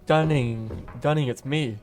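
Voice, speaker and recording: A resolute co-conspirator acted by a man in a radio drama, with a mid-pitched voice. He gives firm, urgent instructions like someone taking command, with some music in the background. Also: a little slow, a little mellow, natural voice.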